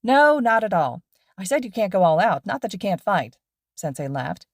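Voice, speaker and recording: A woman voicing a loud, cheerful male hero teacher with an exuberant, projecting manner. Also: medium pitch, sing-song, moderate pace, dry recording, clean studio sound. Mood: upbeat.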